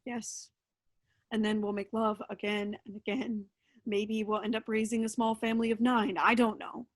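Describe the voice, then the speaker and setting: A woman's voice: a little high, little pitch movement, moderate pace, natural voice. An actress playing a caring, candid woman in a staged play reading. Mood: tender, sad. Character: Atara, caring, candid woman, Lazarus's lover.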